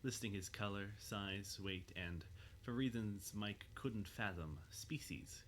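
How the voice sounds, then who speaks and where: A man's voice: lowish voice, takes it slowly, slight background noise. A man narrating a scripted audio drama as its storyteller.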